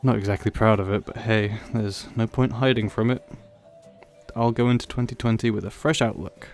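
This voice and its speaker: Guy acting as a shy rambly young man, old friend at a party, soft mellow voice, kinda self-deprecating, audio rp with faint music behind. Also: fairly low pitch, fairly even intonation, normal speed, lightly acted. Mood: sheepish, resolute. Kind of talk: audio roleplay, conversational acting addressed to listener.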